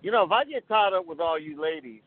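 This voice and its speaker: A man's voice: fairly high pitch, lively intonation, fast talker. A man in casual chat, hesitant and flustered as he jokes along on the spot. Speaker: show participant put on the spot. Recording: tinny phone line with clear noise.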